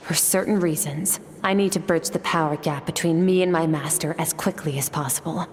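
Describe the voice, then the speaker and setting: A woman's voice: sits low, steady tone, a little fast, a little hiss or hum, close to an everyday voice. A woman playing a stoic, driven young sword disciple in a video game, restrained and solemn. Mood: resolute. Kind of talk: dramatic video game acting. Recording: long reverb, background music.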